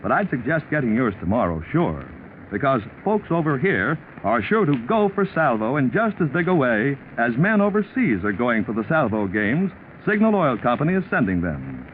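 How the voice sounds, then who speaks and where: Male vintage radio announcer, polished sales delivery, lively swooping pitch, tinny old recording. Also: normal speed. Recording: tinny 1940s radio recording, faint noise.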